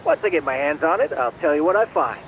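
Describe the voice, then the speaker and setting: A man's voice: a little high, big pitch swings, fast, dull audio, slight background noise. An actor playing a polite, helpful male computer geek in a video game dub, articulate and precise. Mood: matter-of-fact. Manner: courteous, articulate.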